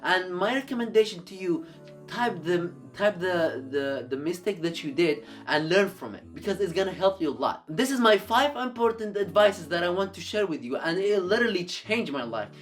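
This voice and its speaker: A man's voice: high-pitched, expressive, moderate pace, soft background music. A man whose first language is Arabic, giving earnest motivational advice to camera in a YouTube video, often repeating and restarting his words.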